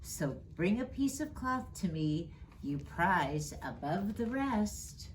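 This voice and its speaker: A woman reading a children's storybook aloud in an expressive storytime style. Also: medium pitch, noisy background. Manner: slow, expressive, rhyming read-aloud delivery. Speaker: storyteller reading as Mrs. Claus.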